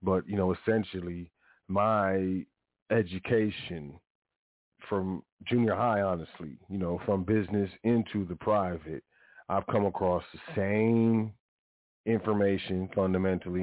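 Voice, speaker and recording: A man's voice: fairly even intonation, moderate pace, no room sound. A man hosting his own show, talking in a rambling, casual monologue full of restarts and asides. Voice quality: deep voice. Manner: rambling, frequent self-corrections and "you know". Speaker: podcast host. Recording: dry, phone-like narrow sound.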